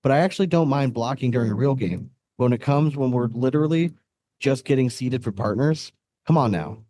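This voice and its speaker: A man's voice: medium pitch, brisk, dark tone, no room sound. A man hosting a podcast in a casual, chatty style. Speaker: podcast host.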